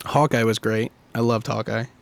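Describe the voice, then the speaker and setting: A man's voice: lowish voice, steady tone, brisk, vocal fry. A young man co-hosting a podcast, chatty and teasing, steering the talk. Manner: chatty, leads the discussion, teasing. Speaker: podcast co-host. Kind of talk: casual chat.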